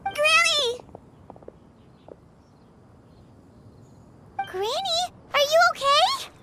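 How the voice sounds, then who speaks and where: A woman's voice: very high voice, lively intonation, slow pace, noisy background, exaggerated voice. A woman playing a devoted, anxious little grandchild in a game, speaking earnestly. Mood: alarmed. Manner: earnest, pleading. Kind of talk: dramatic game voice acting.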